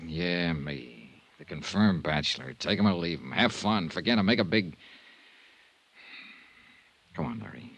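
Hard-boiled 1950s radio investigator: lowish, very animated delivery, clipped, wry and impatient. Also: male voice, measured pace, long pauses, slightly rough, highs cut off, full character voice. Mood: impatient, exasperated. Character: tough, impatient male insurance investigator, smitten and blunt. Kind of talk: 1950s radio drama acting. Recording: vintage 1950s radio recording.

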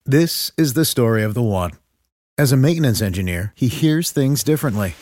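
A man's voice: medium pitch, animated, a little fast, very noisy. A man reading scripted commercial narration as an announcer. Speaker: advertisement announcer.

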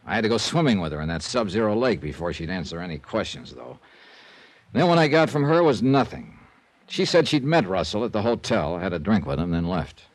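A wry, dogged insurance investigator in a 1950s radio drama. His voice sits fairly low and is recorded dry and close. He delivers dry wisecracks and steady first-person narration. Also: brisk, no echo. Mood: matter-of-fact.

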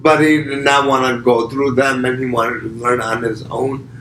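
A man from Pakistan chatting as a podcast guest, starting and restarting his sentences with lots of fillers. His voice sits at an ordinary pitch, and the room around him rings with echo over a faint hum of background ambience. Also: little pitch movement, a bit bright. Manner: halting, restarts with many fillers. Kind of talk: casual conversation.

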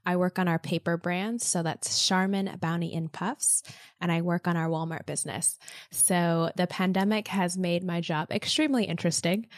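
A woman's voice: lowish voice, steady tone, normal speed, no room sound, clean studio sound. A woman in her thirties from upstate New York, answering interview questions in a friendly, self-assured way. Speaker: part-time MBA student guest.

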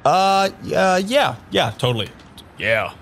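A man playing an awkward, unsure amnesiac in an improvised audio drama, halting in dialogue and reflective as he narrates. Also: high voice, sing-song, fairly bright tone, slight background noise, exaggerated voice. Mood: flustered. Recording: music behind the voice.

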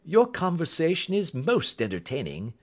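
Guy doing a calm unbothered gentleman detective, bit mocking, audiobook style, super lilting voice. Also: on the high side, moderate pace, voice pushed up. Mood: bland, assured. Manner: imperturbable, bland, lightly mocking. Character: bland, unflappable, amused gentleman detective.

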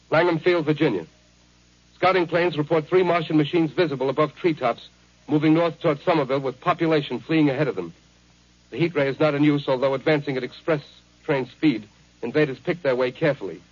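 An actor playing a radio news announcer who reads war bulletins in a steady, urgent way. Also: male voice, fairly high pitch, husky. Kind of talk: 1930s radio drama acting. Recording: dull 1930s radio recording.

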